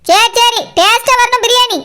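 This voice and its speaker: Squeaky, bossy cartoon boss. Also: female voice, fairly even intonation, normal speed, pushed and bright. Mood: brisk, teasing. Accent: Kanyakumari Tamil. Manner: scolding, mocking, colloquial. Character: bossy, sarcastic, teasing boss in a comedy cartoon. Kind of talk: comic cartoon dialogue.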